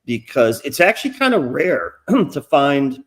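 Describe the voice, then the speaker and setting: A man's voice: mid-range voice, sing-song, a little slow, husky. Nasal, profane middle-aged man rambling. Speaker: podcast host. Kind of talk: casual livestream interview chat.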